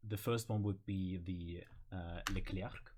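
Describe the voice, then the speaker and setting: A man's voice: low voice, steady tone, slow, a little mellow, a little hiss or hum. A man presenting game voice lines in casual banter, playful and given to explaining.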